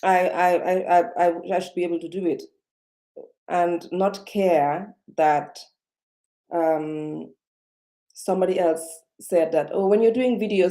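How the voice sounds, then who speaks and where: Woman, non-native English, seated vlog to webcam, low mellow tone, halting with lots of ums. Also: fairly even intonation. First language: not English. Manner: hesitant, many fillers and restarts. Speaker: self-help vlogger. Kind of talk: unscripted talk to camera. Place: indoors, seated. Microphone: webcam or computer mic.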